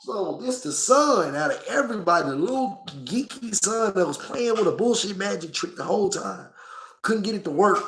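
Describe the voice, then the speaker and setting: A man in his thirties with a Memphis accent and a hoarse, coughing voice, reviewing a movie casually and profanely to his phone camera. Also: high-pitched, moderate pace, slight background noise. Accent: Southern US, Memphis. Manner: profane, animated, talks straight to camera.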